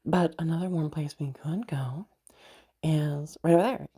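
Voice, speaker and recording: A woman acting as a playful, caring party host in an intimate audio roleplay, soft-voiced and hesitant, rambling as she gently reassures the listener. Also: low-pitched, moderate pace, slightly rough. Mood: soft, reassuring.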